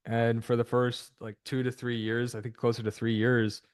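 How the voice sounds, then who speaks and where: Guy hosting a podcast, upbeat chatty, mid pitch, dry close mic. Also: completely flat delivery, moderate pace, clean tone, no room sound. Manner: upbeat, chatty, with frequent restarts. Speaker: podcast host. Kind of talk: casual interview hosting.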